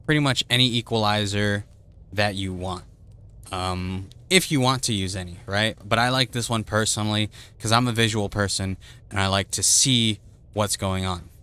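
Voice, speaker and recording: A man's voice: lowish voice, pushed and bright, clean tone. A man streamer casually explaining audio settings in a loose, chatty way with plenty of fillers, on a desktop streaming mic at his home setup. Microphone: desktop streaming microphone with noise gate.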